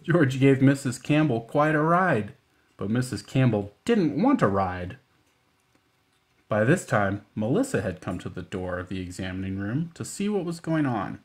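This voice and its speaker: A man reads picture books aloud as a children's storytime host. His pitch is average, and he drops in playful, casual asides in simple phrasing. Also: sing-song, moderate pace.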